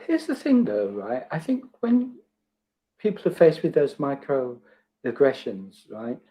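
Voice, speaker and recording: A man guesting on a casual chat with a mellow, dark tone. He speaks in an easygoing, reflective way, with the odd filler. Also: medium pitch, huge pitch swings, slow pace.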